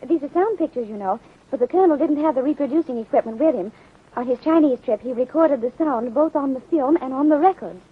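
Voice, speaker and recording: A woman's voice: high voice, fast, husky. An actress playing a polite, ingenuous young society lady in 1930s radio drama style. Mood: explaining. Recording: muffled phone-like 1930s radio recording.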